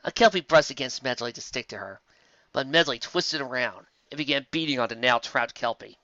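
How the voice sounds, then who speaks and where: A man narrating a fan fiction audiobook, with a lively storytelling delivery. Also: medium pitch, fairly quick, dry recording, lo-fi sound. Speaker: audiobook reader.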